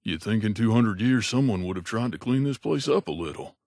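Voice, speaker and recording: An actor playing a wry, gruff mercenary companion in a video game, speaking in a conversational, grumbling way. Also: male voice, fairly low pitch, animated, a little fast, a little mellow, no room sound, studio quality. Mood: wary grumbling. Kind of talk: game character voice lines.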